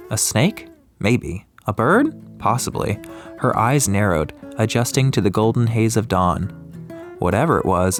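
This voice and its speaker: A man narrating an atmospheric audiobook story from a script. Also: lowish voice. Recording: music behind the voice.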